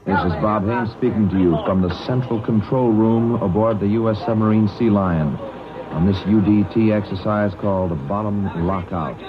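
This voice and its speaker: A man's voice: on the low side, normal speed. A man giving an on-location radio report from a submarine control room. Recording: muffled, very noisy field radio recording.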